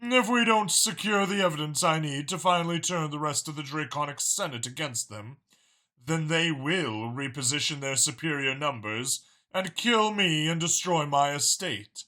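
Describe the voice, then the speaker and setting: A man's voice: a little high, moderate pace, pushed and bright. A man voicing a calculating, mild-mannered dragon spymaster in an audiobook, articulate, formal and matter-of-fact about killing. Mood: grave, explanatory. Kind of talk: audiobook character dialogue.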